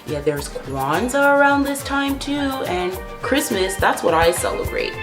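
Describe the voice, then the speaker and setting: Lively woman hosting in echoey room. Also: on the high side, unhurried. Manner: exclamatory, addresses child viewers directly. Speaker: children's show host. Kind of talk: upbeat children's show hosting. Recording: echoey room with music behind. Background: background instrumental music.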